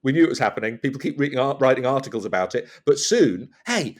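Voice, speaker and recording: A man's voice: mid-range voice, lively intonation, fast talker, darkish tone. A man guesting on a comedy podcast, riffing in a rambling, mock-flustered, absurd way. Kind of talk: comic riffing and banter.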